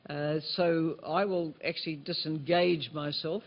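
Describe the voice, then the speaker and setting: A man chairing a meeting, handing over to the next speaker in a relaxed, informal way with many fillers. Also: on the high side, a little slow, muffled recording. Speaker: meeting chair from the organizing agency.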